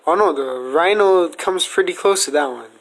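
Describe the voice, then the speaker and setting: A man with a slightly high-pitched voice hosts a casual podcast conversation. He talks through speculative theories in an earnest, explanatory way, as in an informal question-and-answer session. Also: moderate pace. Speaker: podcast host.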